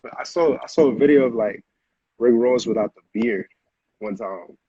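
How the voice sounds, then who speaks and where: A man's voice: mid-range voice, normal speed, warm tone, breathy edge, no room sound, dull audio. An American man chatting casually as an interview guest, with slangy phrasing and a habit of repeating himself. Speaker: music producer, interview guest.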